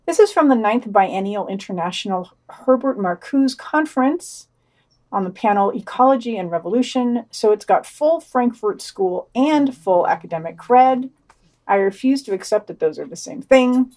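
A woman hosts a news podcast and reads the week's items in a mid-pitched voice. Her asides are dry and sardonic. Her delivery stays matter-of-fact. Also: dark tone. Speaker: podcast host. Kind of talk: reading news summaries with wry asides.

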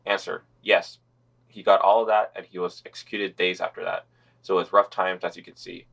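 A man's voice: low-pitched, flat delivery, brisk, gravelly, fairly bright tone. A man co-hosting a podcast, reading news summaries and quotes in a laid-back, sardonic way. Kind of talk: casual news roundup with read-out quotes.

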